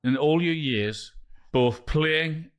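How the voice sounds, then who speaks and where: A man's voice: fairly high pitch, slow pace. A man co-hosting a casual football podcast, teasing and emphatic. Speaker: co-host.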